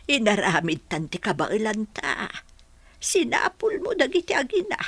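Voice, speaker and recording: Husky, concerned older wife, played by a woman. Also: fairly high pitch, huge pitch swings, normal speed, fairly bright tone, no room sound, full character voice. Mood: consoling. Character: concerned elderly wife consoling her husband. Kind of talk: Filipino radio-style drama acting.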